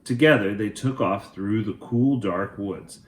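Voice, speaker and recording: A man hosting a children's read-aloud, narrating a storybook in a deliberate, expressive way. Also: on the low side, roomy sound.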